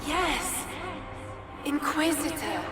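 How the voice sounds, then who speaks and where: A woman voicing a sorrowful, confused spirit in a video game, speaking in halting, dreamy fragments. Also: high-pitched, animated, full character voice. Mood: dazed, mournful. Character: sorrowful confused female spirit echoing a dead woman's memories. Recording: cavernous echoing reverb, distant, music behind.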